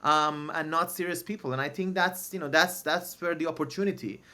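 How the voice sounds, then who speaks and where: A man's voice: on the high side, brisk, bright, forceful tone. A man from Iran, a native Persian speaker, arguing his side on a discussion panel with emphatic, filler-heavy talk. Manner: emphatic, argues back with lots of "you know".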